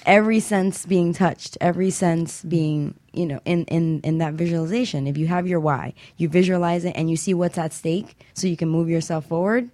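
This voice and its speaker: Female talk host, slightly low voice, dry studio sound, encouraging and emphatic. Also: steady tone, normal speed, few pauses, warm tone, dry recording. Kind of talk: casual motivational talk show chat.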